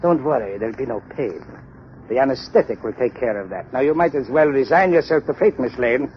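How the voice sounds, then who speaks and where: An actor playing an arrogant, murderous mad doctor in a theatrical, menacing 1930s radio thriller style. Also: male voice, mid-range voice, a little fast, lightly acted. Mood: smug menace. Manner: theatrical, boastful, sinister. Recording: muffled, noisy 1930s radio recording.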